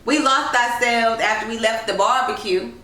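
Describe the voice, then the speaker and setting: A woman voicing a jovial gentleman motorist who teases, in a storybook reading. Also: a little high, normal speed. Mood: teasing. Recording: roomy, distant, noisy home recording.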